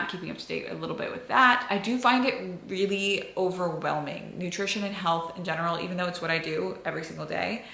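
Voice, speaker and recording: A woman's voice: lowish voice, pushed and bright. A young American woman chatting casually to camera, answering viewer questions in an open, filler-heavy way. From United States. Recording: echoey room.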